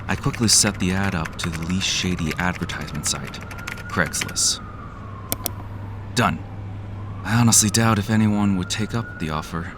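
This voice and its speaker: A man's voice: deep voice, noisy background, lightly acted. A man playing a jaded, cynical office worker who narrates an audio drama in a weary, sardonic, profane way.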